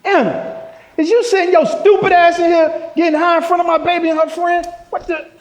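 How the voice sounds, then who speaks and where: A man's voice: extremely high pitch, flat delivery, a little fast, lo-fi sound, noisy background. A man acting in a stage play as a middle-aged, protective father who is profane and confrontational. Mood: furious, shouting.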